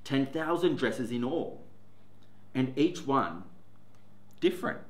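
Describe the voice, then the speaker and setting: A male teacher in his forties reads a picture book slowly, with a lively rise and fall in his voice. He sits in a classroom, and the camera catches a roomy echo. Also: mid-range voice. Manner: slow, expressive storytelling. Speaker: teacher reading aloud. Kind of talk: picture book read-aloud. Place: classroom, indoors. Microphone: camera in front, seated. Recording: echoey classroom.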